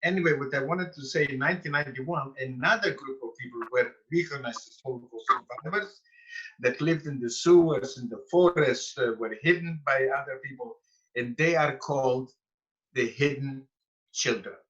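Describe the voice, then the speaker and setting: A man of eighty, from Bukovina, telling his life story slowly and carefully as a guest on a video call. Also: mid-range voice, a bit bright. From Bukovina (Romania/Ukraine). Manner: slow, careful storytelling with pauses. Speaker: Holocaust survivor guest. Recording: dull lo-fi call audio.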